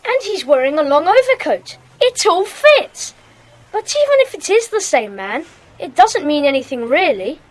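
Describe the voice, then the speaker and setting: A woman's voice: high-pitched, very animated, moderate pace, poor audio. A woman playing a sensible, sceptical schoolboy in a detective gang, reasoning matter-of-factly in a children's audio drama. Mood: excited.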